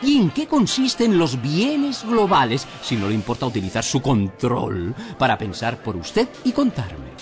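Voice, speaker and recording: Contrarian blind gentleman, very animated. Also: mid-range voice, measured pace. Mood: ironic. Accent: Castilian Spanish. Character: polite, stubbornly contrarian blind man. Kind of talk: surreal radio drama dialogue. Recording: music under the dialogue.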